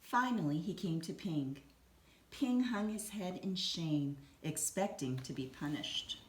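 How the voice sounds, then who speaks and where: A woman reading a children's story aloud in an expressive, deliberate storytelling manner. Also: fairly low pitch. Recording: roomy echo, some background noise.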